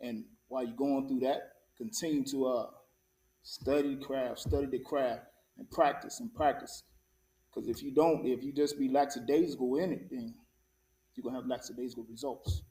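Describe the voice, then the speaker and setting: A man's voice: mid-range voice, fairly even intonation, moderate pace, dark tone. A man giving casual, folksy advice as an interview guest, pressing his points by repeating them. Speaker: stage actor, show guest. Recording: echoey room, distant from the mic.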